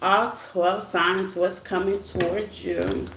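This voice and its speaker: A woman with a scratchy, rough voice hosts a casual tarot livestream, greeting viewers by name in a loose, chatty way. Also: medium pitch, level tone, slow. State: voice rough after a silent morning. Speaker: tarot reader hosting a live stream. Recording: echoey room with faint noise.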